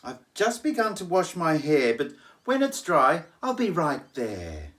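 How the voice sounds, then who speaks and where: A man's voice: fairly high pitch, wildly swooping pitch, unhurried, fairly bright tone, voice pushed up, exaggerated voice. A man voicing a confident young woman from a storybook, breezy and sweetly patronising. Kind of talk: storybook character reading.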